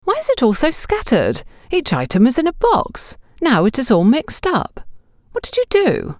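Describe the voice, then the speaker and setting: A woman's voice: high-pitched, huge pitch swings, normal speed, a little mellow, no echo, voice pushed up. A woman narrator puts on a demanding female boss in an audiobook drama. She is curt and authoritative, her intonation rising and falling sharply, recorded close and dry. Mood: annoyed.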